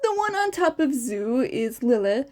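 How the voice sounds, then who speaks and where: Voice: high-pitched, big pitch swings, measured pace, a little mellow. Vrouw speelt verlegen, gretige jongen, hoog. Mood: sheepish, explaining.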